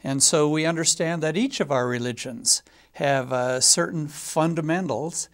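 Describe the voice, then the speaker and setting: A man in his seventies hosting a local TV panel discussion, speaking haltingly with frequent pauses and fillers. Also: on the high side. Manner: hesitant, many filler pauses. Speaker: talk show host.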